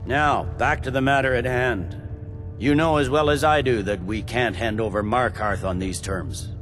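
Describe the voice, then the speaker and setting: An actor voicing a stern, impatient middle-aged general in a fantasy game, clipped and commanding. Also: male voice, mid-range voice, fairly even intonation, normal speed, a bit bright, faint music behind, natural voice. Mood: measured, grudging. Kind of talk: dramatic fantasy game dialogue.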